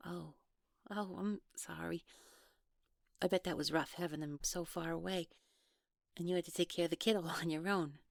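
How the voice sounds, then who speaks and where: A woman's voice: on the low side, fairly even intonation, creaky, dry recording. Woman acting guarded, hesitant young woman, dry close sound. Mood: sympathetic, awkward. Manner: hesitant, halting, trailing off, nervous laughs. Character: guarded young woman, defensive, awkward, newly in love. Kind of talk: naturalistic one-sided audio roleplay acting.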